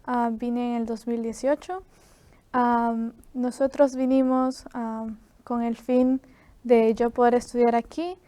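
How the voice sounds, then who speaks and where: Nineteen-year-old Ecuadorian woman guest, smooth flat voice, soft, lots of fillers. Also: fairly high pitch, takes it slowly, no room sound. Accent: Ecuadorian Spanish. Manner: soft-spoken, many "am" fillers. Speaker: student guest. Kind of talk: interview.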